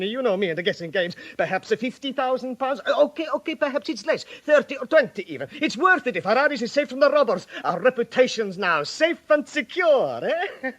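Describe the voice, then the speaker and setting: An actor playing a boastful, fawning Italian mobster in a comic radio play, theatrical, with an Italian accent and broken English. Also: male voice, high voice, a bit bright, highs cut off, heavily acted. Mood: jovial, wheedling.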